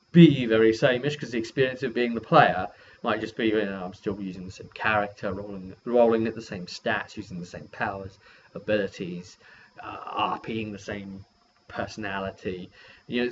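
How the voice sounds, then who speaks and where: A man giving a casual, rambling recap as a game master and video host, halting and often correcting himself mid-sentence. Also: on the low side, a bit bright. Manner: halting, self-correcting delivery. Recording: dull lo-fi sound with faint noise.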